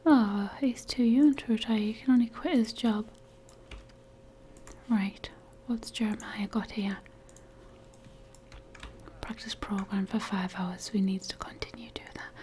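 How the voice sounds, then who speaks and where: A woman's voice: mid-range voice, steady tone, a little slow, long pauses, warm tone. A woman whispering playful, bossy commentary while playing a life-sim game. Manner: whispering, wry and bossy toward game characters. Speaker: gamer.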